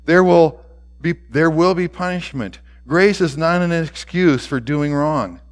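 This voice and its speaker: A man voicing a gentle, solemn older principal in a story, speaking quietly and deliberately. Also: on the high side, lightly acted. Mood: firm, gentle. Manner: quiet, slow, deliberate, near whisper at times.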